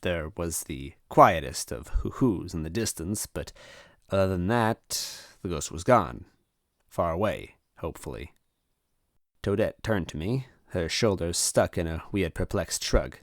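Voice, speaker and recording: A man reading a first-person story aloud in an expressive narrating style. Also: low voice, measured pace, no echo, clean studio sound. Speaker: story reader.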